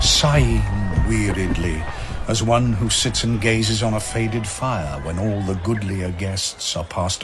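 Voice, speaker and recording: Male narrator, solemn theatrical verse storytelling, slow pace, fairly deep, atmospheric effects behind. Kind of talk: dramatic scripted narration of epic verse. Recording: clear ambient noise behind narration.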